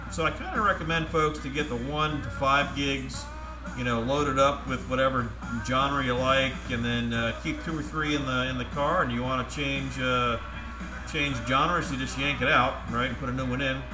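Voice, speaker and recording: A man giving a casual, step-by-step product demonstration in a halting, plain-spoken way. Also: medium pitch, steady tone. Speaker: presenter demonstrating converted car radios. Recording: roomy echo, music playing from radio. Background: music from the demonstrated radio.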